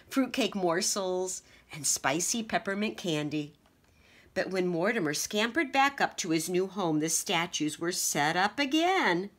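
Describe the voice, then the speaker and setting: Woman teacher reading aloud, lowish voice, very lively pitch, slow and gentle. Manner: expressive, gentle storytelling. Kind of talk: children's picture book read-aloud.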